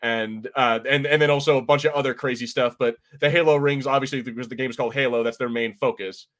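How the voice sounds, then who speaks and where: A man hosting a casual podcast discussion, explaining things in an informal, rambling and halting way. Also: medium pitch, fairly even intonation, fairly bright tone. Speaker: podcast host. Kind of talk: casual pop-culture discussion, explaining game lore.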